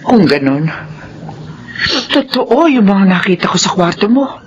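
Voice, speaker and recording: A woman's voice: medium pitch, sing-song, unhurried, lo-fi sound, slight background noise. An actress playing an anxious, loving mother in a Filipino radio horror drama, speaking with a Filipino accent in an emotional, pleading way. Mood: solemn, compassionate.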